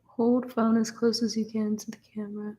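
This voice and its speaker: A woman's voice: fairly high pitch, level tone, normal speed, mellow. A nineteen-year-old woman casually answering chat questions on a live stream, with lots of fillers and restarts. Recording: roomy echo.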